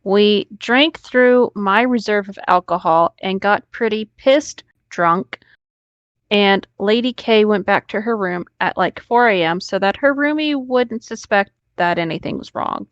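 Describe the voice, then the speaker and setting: A woman's voice: medium pitch, unhurried, dry recording, highs cut off. Woman reading stories, close dry sound. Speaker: co-host reading online stories aloud. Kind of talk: story reading with casual banter.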